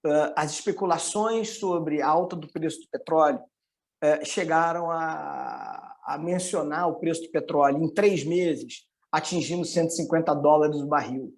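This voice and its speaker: A middle-aged man with a Carioca Rio accent, an economist giving an informal, explanatory presentation with hesitant pauses. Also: fairly high pitch, steady tone. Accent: Carioca (Rio de Janeiro) Brazilian. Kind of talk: informal online presentation with slides.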